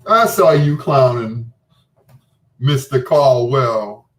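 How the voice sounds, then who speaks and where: A man's voice: mid-range voice, lively intonation, slow pace. A middle-aged man hosting a radio show in a casual late-night chat, laid-back, folksy and teasing with his listeners. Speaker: radio host.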